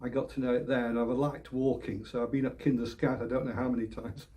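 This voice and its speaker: An older man from the north of England, a political candidate answering interview questions in a halting way, often repeating words, with small laughs. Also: mid-range voice, little pitch movement, warm tone. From England, born Leeds, raised Oldham. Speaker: election candidate.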